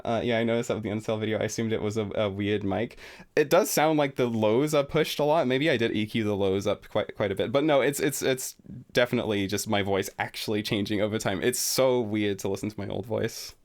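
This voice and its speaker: A young adult man streaming a relaxed, rambling reaction commentary, full of fillers and self-deprecating asides. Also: lowish voice, fast.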